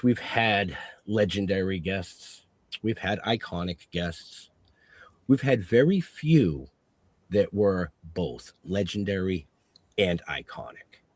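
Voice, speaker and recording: A man's voice: fairly low pitch, no echo. A man hosting a casual online talk show, opening with hype and laughing often between lines. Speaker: podcast host. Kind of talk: casual chat.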